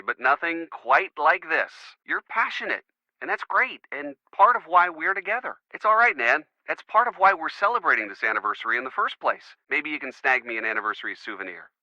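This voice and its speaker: A man's voice: fairly high pitch, sharp tone, dry recording, muffled recording. An actor playing an easygoing young American boyfriend in a video game, teasing and wryly sarcastic in his phone dialogue. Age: young adult. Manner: wry, playful sarcasm.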